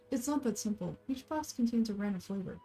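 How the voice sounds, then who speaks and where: Festival vendor for fantasy game, voiced by a woman: high-pitched, cheerful, chatty, helpful salesmanship. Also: lively intonation, moderate pace, dark tone, soft background music, natural voice.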